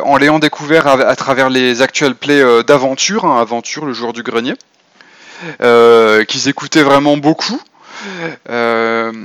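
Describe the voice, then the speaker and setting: A man's voice: mid-range voice, a bit bright, clean tone, no echo. A man giving a semi-prepared podcast talk on role-playing, hesitant and full of fillers and restarts. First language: French.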